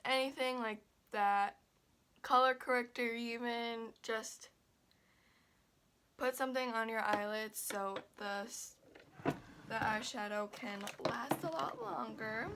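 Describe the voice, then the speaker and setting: A young woman chatting through a makeup tutorial. She sounds stuffy and a little raspy, and she rambles with lots of fillers and restarts. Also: on the high side, takes it slowly, noisy background. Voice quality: slightly raspy. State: stuffy and congested. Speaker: beauty vlogger.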